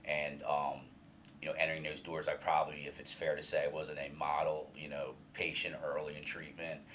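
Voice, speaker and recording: Guest, male, 50s, very low voice, earnest, halting delivery, faint hiss behind. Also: completely flat delivery. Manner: open, earnest, hesitant with many restarts and fillers; loud voice. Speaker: alumni coordinator, guest. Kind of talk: candid interview about his recovery.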